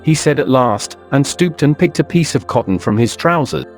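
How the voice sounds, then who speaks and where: A man's voice: mid-range voice, animated, normal speed, soft background music. A man narrating a scripted suspense story in a literary style.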